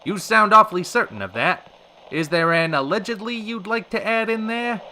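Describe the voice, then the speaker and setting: Brash, bright-voiced vintage radio host. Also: male voice, high-pitched, animated, a little hiss or hum, full character voice. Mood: probing, wary. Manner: wisecracking, theatrical, fast-talking slang. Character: brash, short-tempered 1940s radio talk show host, a man. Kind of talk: old-time radio drama acting.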